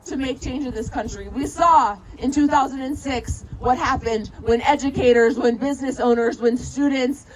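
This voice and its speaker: A woman giving a fiery rally speech outdoors, calling on the crowd to answer her. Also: high voice. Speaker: protest speaker, educator organizer. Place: outdoor protest in a city. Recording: noisy outdoor rally recording.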